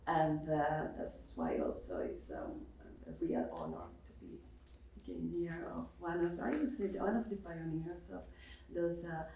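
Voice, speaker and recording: A woman's voice: low voice, sing-song, measured pace, vocal fry, warm tone, a little hiss or hum. A Cuban-born woman speaking as a professor on an academic panel, hesitant with many fillers and restarts. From Cuba. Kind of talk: spontaneous academic panel remarks. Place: seminar room. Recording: roomy echo, distant mic.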